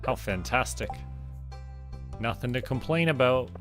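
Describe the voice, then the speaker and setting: A man's voice: mid-range voice, lively intonation, unhurried. A man from Canada chatting casually as a game streamer, thinking aloud in a playful way. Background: music.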